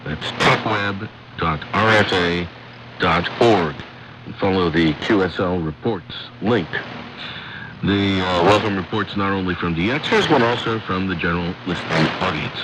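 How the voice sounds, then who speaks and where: A man presenting a radio programme in a scripted announcing style, deliberately repeating and spelling out details. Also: sits low. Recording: shortwave radio broadcast with loud noise.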